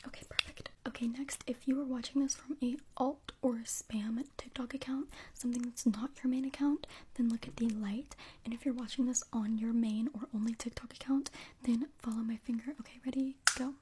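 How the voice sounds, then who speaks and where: Young girl doing breathy asmr instructions, hushed, high soft voice, bit of noise and clicks. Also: fairly even intonation, fairly quick. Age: young adult. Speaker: ASMR creator. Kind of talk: whispered interactive ASMR instructions. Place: bedroom, indoors.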